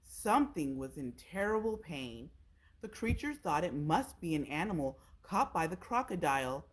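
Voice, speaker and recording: A woman's voice: low voice, very animated, normal speed, slightly rough, rough recording. A woman reading a children's storybook aloud as a storytime narrator. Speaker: storytime reader.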